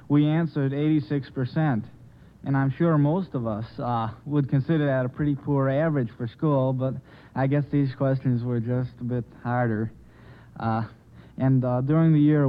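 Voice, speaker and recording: Clear-voiced young American man, hesitant report. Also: medium pitch, narrow, tinny audio. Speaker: quiz show panelist. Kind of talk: reading a prepared report on radio. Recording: thin vintage 1950 radio recording.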